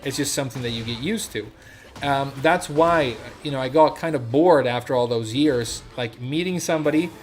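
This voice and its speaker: A man's voice: medium pitch, normal speed. Rambling man chatting on a stream. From Sweden. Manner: rambling, many fillers and restarts. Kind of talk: casual storytelling on a stream.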